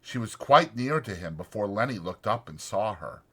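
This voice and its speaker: A man's voice: on the low side, animated, moderate pace, a bit bright, breathy edge. A man reading a story as a dramatic audiobook narrator.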